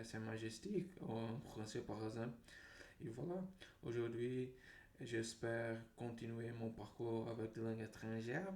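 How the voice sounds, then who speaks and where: A man from Brazil with a Brazilian accent and Portuguese as his native language, talking unscripted to viewers in a halting, repetitive way as a language vlogger. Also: medium pitch, a little mellow. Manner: halting, repeats words and phrases. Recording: roomy echo, faint background noise.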